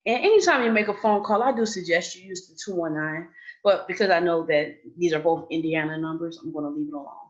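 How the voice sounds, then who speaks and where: A woman's voice: fairly low pitch, animated, fast, highs cut off. A woman giving an online training session in a casual, chatty way, often checking in with "okay?". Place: indoors, on a video call. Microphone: video call.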